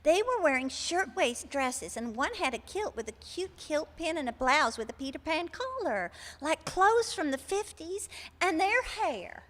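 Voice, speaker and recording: An actress plays a sweet, unfazed older woman phoning a radio show in a comic stage play. Her voice sits high and she rambles in a homespun, gossipy way. The sound rings with the reverb of a hall. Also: very animated, unhurried, a bit bright, exaggerated voice. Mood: cheerful, gossipy. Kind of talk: comic live radio theater acting.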